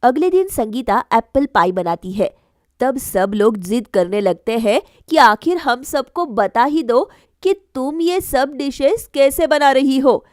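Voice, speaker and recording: A woman storyteller reads a scripted bedtime tale for a cartoon. Her voice sits high and she takes her time over each sentence. The recording is close and dry. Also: expressive, slow, no room sound.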